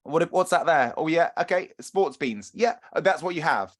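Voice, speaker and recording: A man's voice: a little high, expressive, normal speed. Chatty male running coach on a webinar. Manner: chatty, upbeat, asks audience questions. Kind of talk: webinar talk and Q&A.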